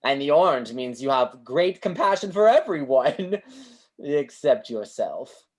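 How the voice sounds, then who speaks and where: A woman's voice: extremely low pitch, very animated, unhurried, a little mellow, husky, exaggerated voice. A woman playing a grandiose, kindly street fortune-teller with florid delivery in a staged play reading over a video call. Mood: tender, solemn. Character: Great Banzini, grandiose theatrical male street fortune-teller, kindly mystic.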